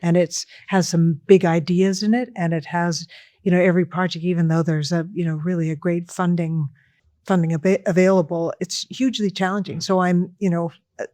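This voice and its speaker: A woman's voice: lowish voice, level tone, normal speed, dark tone, no room sound. A rambling woman on a dry close mic. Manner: rambling, self-interrupting. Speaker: architect guest. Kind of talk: casual conversation.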